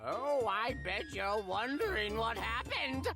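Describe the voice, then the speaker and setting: A chatty young anime game storyteller talks slowly with a teasing, sing-song lilt. The voice is rather high, with clear music behind. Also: female voice, very animated, exaggerated voice. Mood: playful. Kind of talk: anime game voice acting, addressing the listener.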